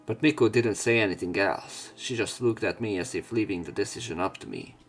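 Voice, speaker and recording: A man with a fairly low voice reads a visual novel's lines aloud in a casual let's-play manner and drops jokey asides between lines. Game music plays faintly behind him. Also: a little fast. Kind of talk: reading visual novel text aloud with commentary.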